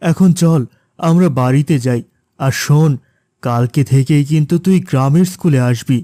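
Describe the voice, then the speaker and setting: Mellow, gentle cartoon father acted by a man. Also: fairly high pitch, moderate pace, dry recording, studio quality, natural voice. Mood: reassuring. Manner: gentle, patient, reassuring. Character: gentle, reassuring middle-aged village-born father. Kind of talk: cartoon character dialogue.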